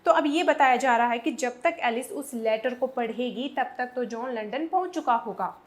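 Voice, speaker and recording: Female tutor, very high voice, Indian accent, instructive grammar explanations with key points repeated. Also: normal speed. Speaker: English grammar teacher.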